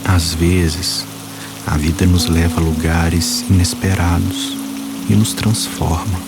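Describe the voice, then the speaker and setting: A man guiding a meditation, speaking calmly and slowly in short phrases with long pauses. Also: sits low. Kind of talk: guided meditation. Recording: voice-over with music and water sounds. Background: soft music, rain and running water.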